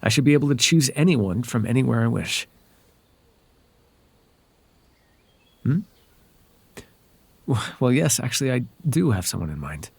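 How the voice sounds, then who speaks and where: A man voicing a whimsical, softly possessive male fairy in an intimate, hesitant, conversational ASMR roleplay. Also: mid-range voice, lively intonation, moderate pace, gravelly, breathy edge, no room sound. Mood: smitten.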